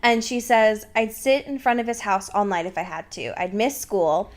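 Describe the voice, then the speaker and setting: A woman's voice: medium pitch, lively intonation, moderate pace. A woman co-hosting a podcast in casual, informal conversation, often hedging as she talks. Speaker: podcast co-host. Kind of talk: casual chat.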